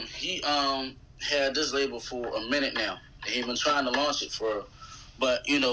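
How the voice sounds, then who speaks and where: A man's voice: mid-range voice, bright, forceful tone, slight background noise, rough recording. An American man in his thirties talking casually as an interview guest, in a slangy, conversational way. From United States. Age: 30s. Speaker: guest, rapper.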